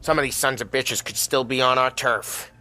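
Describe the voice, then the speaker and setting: A man's voice: mid-range voice, steady tone, moderate pace, background noise, natural voice. An American man playing a hot-headed, wisecracking mob enforcer in a game, brash and slangy. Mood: brisk, eager. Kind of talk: game cutscene acting.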